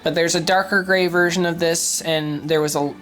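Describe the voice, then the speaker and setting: A woman's voice: fairly low pitch, steady tone, normal speed, soft background music. Congested young woman rambling about a collection. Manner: enthusiastic, rambling with frequent ums and restarts. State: congested, stuffy from a cold. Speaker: streetlight collector and vlogger. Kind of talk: casual show-and-tell vlog.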